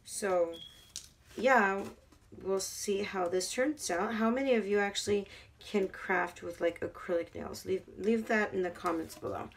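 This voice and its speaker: A woman hosting a craft tutorial, talking casually while she works with her hands, her voice hoarse. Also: mid-range voice, measured pace, slight background noise. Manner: chatty, talks while working with her hands. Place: craft table at home.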